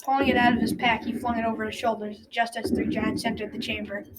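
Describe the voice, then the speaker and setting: A noisy recording, a little distant from the mic, carries a young teenage girl's narration. She reads a scripted folktale in a fairly high voice. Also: little pitch movement, quick pace. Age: young teen. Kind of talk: scripted folktale narration for school radio drama.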